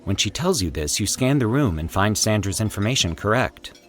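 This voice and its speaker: A man narrating a scripted horror story in a formal, deliberate, theatrical storytelling manner. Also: fairly low pitch, expressive. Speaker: host and narrator of a fiction podcast.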